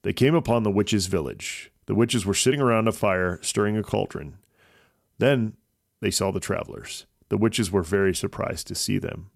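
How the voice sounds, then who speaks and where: Low-pitched man with a creaky voice. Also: normal speed, no echo, studio quality. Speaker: host and narrator of a children's story podcast. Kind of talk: scripted storytelling narration.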